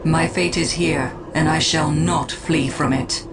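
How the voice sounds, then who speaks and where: A woman's voice: low voice, fairly even intonation, a little slow. A woman voicing a doomed but resolute alien seer in a war game, declaiming solemnly. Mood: resigned resolve. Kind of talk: dramatic game voice acting. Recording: distant voice in noisy ambience.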